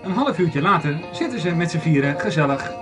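Male storyteller for amateur radio play, slightly high voice, music underneath. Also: lively intonation, fairly quick. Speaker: narrator.

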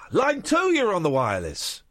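A man hosting a late-night radio phone-in, joking with callers in a teasing, deadpan way. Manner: teasing, deadpan jokes, swings from low mock-gloom to high protest. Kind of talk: late-night call-in banter.

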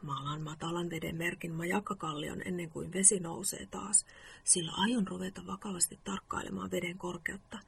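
Woman reading as pompous moomin papa, creaky. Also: on the low side, steady tone, a little hiss or hum. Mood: self-important. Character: earnest, self-important moomin father, amateur scholar of the sea.

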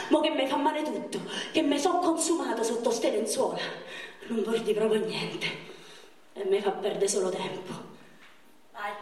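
A woman's voice: on the high side, a little hiss or hum, close to an everyday voice. A woman on stage playing a wounded, pleading young man, with a Roman accent and raw, colloquial, repetitive speech. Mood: agitated, bitter. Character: wounded young man of thirty-one, pleading, wistful, foul-mouthed. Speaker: stage actor. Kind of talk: theatrical monologue in dialect. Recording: echoey theatre, distant microphone.

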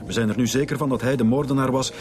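A man's voice: mid-range voice, fairly even intonation, very fast, over music, close to an everyday voice. A man with a Flemish accent playing a commanding, impatient police chief commissioner in a radio drama. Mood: stern.

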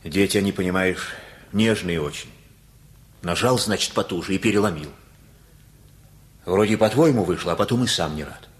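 A low-pitched older man, played by an actor in a radio drama. He is a simple-hearted working-class father, anxious and folksy, feeling his way through each thought with homely, hesitant phrases. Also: moderate pace, pauses often, close to an everyday voice. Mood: troubled, confiding. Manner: folksy, colloquial, hesitant, with many fillers. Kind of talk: radio play acting.

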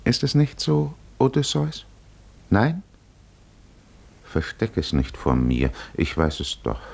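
A man with a deep voice narrates a literary radio drama in the style of the 1950s. He speaks slowly and musingly, measuring out his phrases with deliberate pauses. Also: huge pitch swings, creaky, darkish tone.

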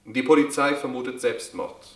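An actor playing a radio newsreader who reads a bulletin in a formal, even manner. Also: male voice, mid-range voice, normal speed, roomy sound, natural voice. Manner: formal, even news reading. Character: radio newsreader, neutral adult man. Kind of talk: news bulletin within radio drama.

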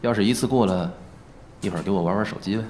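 A man's voice: lowish voice, quick pace, a little mellow, slight background noise, close to an everyday voice. A man playing a teasing, cocky, easygoing film actor in an audio drama, with a playful drawl. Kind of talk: Chinese audio drama acting.

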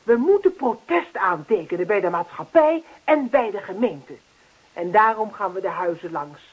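An actress playing an earnest, officious neighbourhood campaigner in a radio play, speechifying persistently. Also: female voice, mid-range voice, lively intonation, normal speed, husky. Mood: insistent, rallying. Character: earnest, insistent female neighbourhood action-committee campaigner. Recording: dull lo-fi radio play recording.

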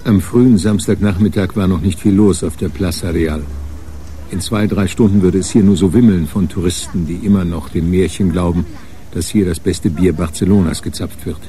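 A man's voice: deep voice, fairly even intonation, moderate pace, dark tone, slight background noise, close to an everyday voice. A man narrating a radio crime drama from a script, as a sober storyteller.

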